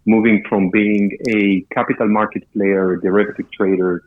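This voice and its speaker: A man's voice: lowish voice, no echo. A man in his fifties from Israel with an Israeli accent, talking upbeat and loosely as a guest, often dropping the subject of his sentences. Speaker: guest entrepreneur. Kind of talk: casual interview talk. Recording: tinny phone-line audio, dry.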